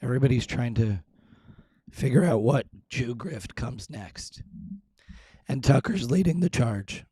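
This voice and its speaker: A man hosting a live stream, his voice hoarse and raspy, talking low and clearing his throat, making fun of how he sounds. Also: unhurried, long pauses, dark tone, no room sound, studio quality. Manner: self-deprecating, frequent throat clearing. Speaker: show host. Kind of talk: casual live-stream chat.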